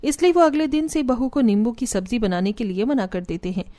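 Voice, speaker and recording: A woman's voice: on the high side, expressive, fairly quick, warm tone. A woman giving scripted narration for a cartoon family story.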